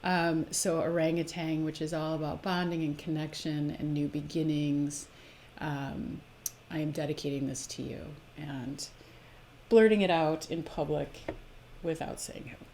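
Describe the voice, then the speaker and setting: Female live-stream host with a low register, delivering spiritual readings and casual talk over slight noise. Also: steady tone, measured pace, darkish tone. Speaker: host of a live spiritual oracle card presentation.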